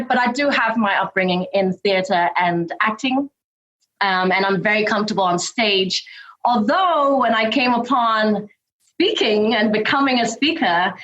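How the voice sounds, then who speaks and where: A woman from Hong Kong with an English accent, telling her life story quickly and brightly as an interview guest. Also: mid-range voice, dull audio. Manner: quick, lively storytelling with frequent laughs.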